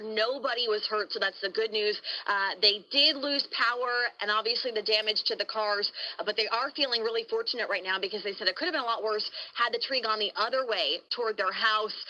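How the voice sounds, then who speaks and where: A woman field reporter gives an on-location news report. Her voice is bright and a little high in pitch, with a reporter's delivery. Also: rough recording.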